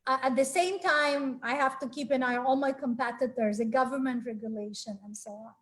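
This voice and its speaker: Woman, from Ukraine, 40s, high voice, friendly and earnest, many fillers, webinar speaker, laptop mic on a video call. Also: brisk, a bit bright. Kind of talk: presenting a live webinar talk. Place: small home room. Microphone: laptop webcam on a video call.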